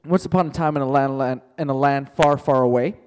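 A man's voice: medium pitch, fairly quick, darkish tone, clear, resonant tone, big-room sound. A male teacher telling a playful made-up story to his class, chatty and joking, calling on students by name.

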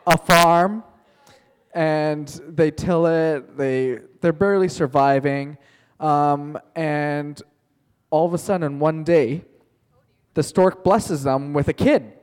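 A male teacher spins a playful, made-up story for his class, joking along the way and calling on students by name. He speaks slowly and a bit high for a man. His voice rings in a classroom with a long echo. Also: clean tone.

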